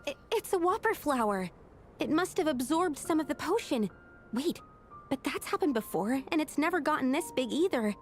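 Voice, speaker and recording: A careful young alchemist, thoughtful and measured. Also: female voice, high-pitched, animated, faint music behind. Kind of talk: video game dialogue.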